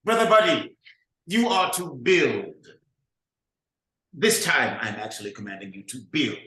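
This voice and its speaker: A man's voice: sing-song, measured pace, bright, forceful tone, echoey room, rough recording. An actor playing a domineering, grandiose shepherd who commands his brother, in a staged play reading. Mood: commanding. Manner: commanding, theatrical, booming declarations. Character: domineering self-styled master shepherd, grandiose man.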